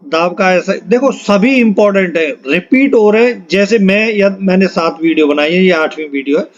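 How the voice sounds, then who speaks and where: A high-voiced man leads a quiz-style exam lesson. He reads each item out in an instructional way and repeats key points. The recording sounds dull and lo-fi. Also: moderate pace. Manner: instructional, repeats questions and answers for emphasis. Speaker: exam-prep physics teacher. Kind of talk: question-and-answer lesson, reading out questions and options.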